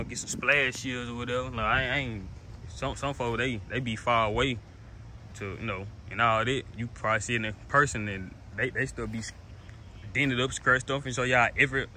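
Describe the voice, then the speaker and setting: Young man, 19, unscripted car vlog, rambly and repetitive, phone mic outdoors with steady background noise. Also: medium pitch. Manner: rambling, repeats himself, lots of restarts. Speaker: car vlogger. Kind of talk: casual vlog to camera. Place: outdoors in a driveway beside a car. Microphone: phone held at arm's length.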